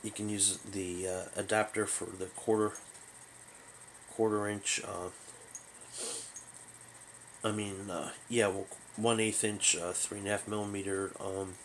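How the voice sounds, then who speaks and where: Low-voiced man, halting and casual. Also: fairly bright tone, a little hiss or hum. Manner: halting, rambling, unscripted delivery. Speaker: host of a hobby radio show. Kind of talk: casual product overview demonstration. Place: small bedroom, indoors.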